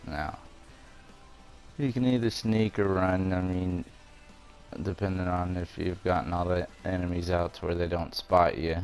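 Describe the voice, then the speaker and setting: A man's voice: low-pitched, fairly even intonation, a little slow, a little mellow, faint music behind. A man giving a casual video game walkthrough, explaining things step by step in an informal way. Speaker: gamer presenting a walkthrough.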